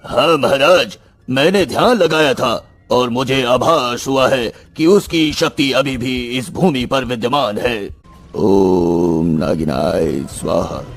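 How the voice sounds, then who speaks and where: Wise court sage voiced by a man: male, solemn, deliberate counsel, middle register, soft music behind. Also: medium pitch, gravelly. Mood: solemn, ominous. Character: grave, wise royal sage and healer, male. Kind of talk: Hindi animated bedtime story acting.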